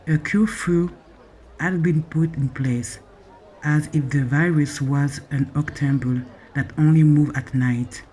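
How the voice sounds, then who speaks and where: A man from Congo, an artist and poet speaking slowly and emotionally about his experience. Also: on the high side, steady tone, darkish tone, low-quality recording. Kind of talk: reflective personal account with poem reading.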